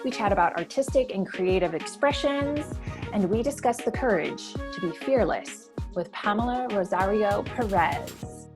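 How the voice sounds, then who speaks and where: A woman's voice: mid-range voice, expressive, unhurried, over music. A woman hosting a podcast, moving between scripted introduction and interview questions. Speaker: podcast host.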